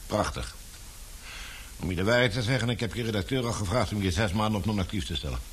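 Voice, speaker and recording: An actor playing an authoritative older newspaper baron in a radio play, brisk, patronising and fatherly. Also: lowish voice, slight background noise, lightly acted. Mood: businesslike. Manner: commanding, patronising. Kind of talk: Dutch radio play acting.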